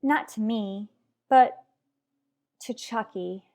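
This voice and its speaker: A woman's voice: a little high, slow, mellow. A woman playing an anxious mother who fears for her young son in a radio soap opera. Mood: anxious, subdued.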